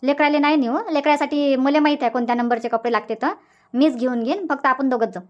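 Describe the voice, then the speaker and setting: A woman's voice: sits high, steady tone, fast, dull audio, close to an everyday voice. A woman playing a fretful, regretful village sister in a cartoon comedy, plaintive and repetitive, with a Varhadi Marathi accent. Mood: agreeable, practical. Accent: Varhadi Marathi of Vidarbha. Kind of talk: dialect cartoon comedy dialogue.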